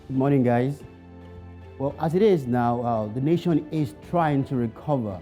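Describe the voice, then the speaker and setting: A man's voice: medium pitch, moderate pace, mellow, soft background music. A man speaking as a business editor, answering questions with frequent fillers. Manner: hesitant with fillers. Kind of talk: studio interview answers.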